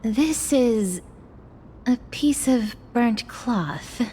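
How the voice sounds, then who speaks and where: Measured, unhurried, composed noblewoman. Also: fairly high pitch, expressive, warm tone, a little hiss or hum. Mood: calm, pensive. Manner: formal, measured. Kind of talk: anime-style game voice acting.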